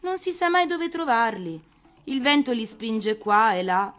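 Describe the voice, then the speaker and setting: A woman's voice: fairly high pitch, sing-song, measured pace, a bit bright, pitched above the performer's own voice. Woman as a small lilting desert flower. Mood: polite, musing. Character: small, humble desert flower, airy and philosophical.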